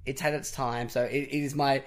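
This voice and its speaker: A young man hosting a podcast in casual chat, his voice husky and raspy from a cold and singing, rambling and self-deprecating. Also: medium pitch, little pitch movement, a little fast. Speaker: podcast host.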